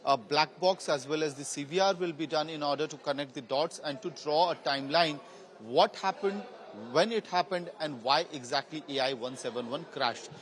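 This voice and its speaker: A man with an Indian accent giving a live news report, explaining things in a halting manner. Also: a little high, a bit bright.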